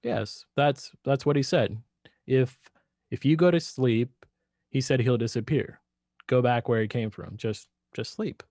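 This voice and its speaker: A meek, bewildered husband in a radio play, played by a man, speaking very slowly and haltingly. His voice is mid-pitched and dry, with words often repeated as he hesitates. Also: a little mellow, no echo, close to an everyday voice. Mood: nervous. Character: weak, bewildered henpecked husband turning to murder. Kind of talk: radio drama acting.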